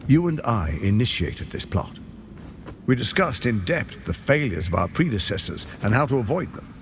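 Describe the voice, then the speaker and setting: Suave, pompous tyrant lord, animated, gravelly. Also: male voice, medium pitch, slight background noise. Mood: grandiose. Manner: silky, pompous, measured and persuasive. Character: suave, scheming tyrant lord courting an old ally. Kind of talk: theatrical video game cutscene acting.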